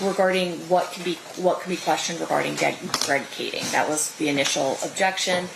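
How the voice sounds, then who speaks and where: An American woman presiding as judge, speaking formally and procedurally in measured courtroom instructions and rulings. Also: deep voice, fairly even intonation, gravelly. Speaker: judge presiding over a criminal trial. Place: indoor courtroom. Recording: noisy, echoey live courtroom feed.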